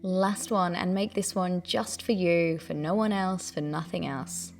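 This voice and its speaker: A woman leading a guided breathing meditation, giving gentle, soothing instructions in a calm, unhurried way. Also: lowish voice, faint music behind.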